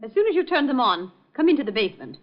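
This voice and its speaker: A woman's voice: high-pitched, sing-song, fast. An actress playing an icy, imperious, mocking woman in a 1950 radio drama, with a cold, clipped, commanding delivery. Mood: cool, commanding. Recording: dull old radio recording, faint music.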